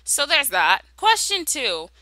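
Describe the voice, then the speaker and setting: A young woman with a very bright, forceful voice that sits fairly high and moves up and down in a lively way. She talks casually and playfully, reading out questions and answering with sassy humor, recorded close and dry. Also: slow, no room sound. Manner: playful, sassy, conversational storytelling. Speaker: YouTube animation creator answering viewer questions.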